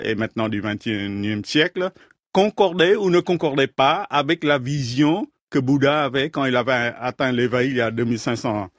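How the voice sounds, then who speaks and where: A man from Vietnam, a scientist guest in a radio interview, explaining his ideas in a measured way, pausing often to search for words. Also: fairly high pitch, no echo, studio quality. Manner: measured, thoughtful, halting with many fillers. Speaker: guest, astrophysicist.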